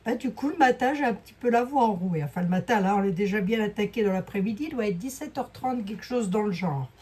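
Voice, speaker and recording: A woman with a hoarse voice giving a casual, rambling card reading, full of fillers and asides to her viewers. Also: medium pitch, animated, fast, darkish tone. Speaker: clairvoyant doing a card reading. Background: fan noise.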